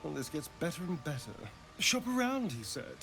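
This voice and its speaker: Theatrical, sardonic British adventurer. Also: male voice, a little high, big pitch swings, normal speed, vocal fry, exaggerated voice. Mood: bitter, wary. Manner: theatrical, scornful, exasperated. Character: impatient, sardonic male fantasy adventurer companion. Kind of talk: video game character acting. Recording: loud game music behind. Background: game music.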